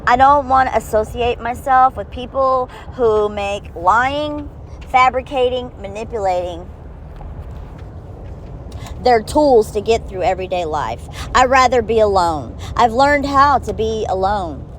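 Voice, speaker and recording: A woman with a high-pitched voice gives a blunt, profane monologue straight to the listener, slowing down to stress key words. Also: slight background noise. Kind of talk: direct-to-camera monologue.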